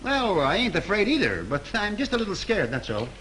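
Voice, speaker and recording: A jittery superstitious studio hand. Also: male voice, high voice, wildly swooping pitch, brisk, pushed and bright, full character voice. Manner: uneasy, folksy slangy speech. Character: nervous superstitious male studio worker. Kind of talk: 1930s film acting. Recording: noisy early sound film track.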